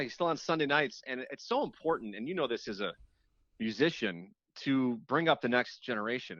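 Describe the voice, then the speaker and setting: A man's voice: mid-range voice, a bit bright, dry recording. Man chatting reflectively, slightly dull sound. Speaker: radio host appearing as a podcast guest. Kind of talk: casual conversational interview.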